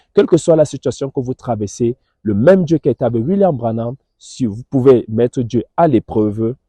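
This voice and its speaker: A man presents a religious television programme in a very dark, mellow voice. He speaks to viewers in a solemn, preaching style, deliberate and emphatic. The sound is close and dry, with little room around it. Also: medium pitch, dry recording.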